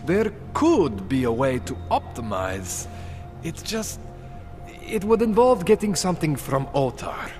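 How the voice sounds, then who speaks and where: Game role: slick, jittery male underground tech. Swooping, animated pitch, wheedling, music behind. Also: measured pace. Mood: coaxing. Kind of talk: video game cutscene acting.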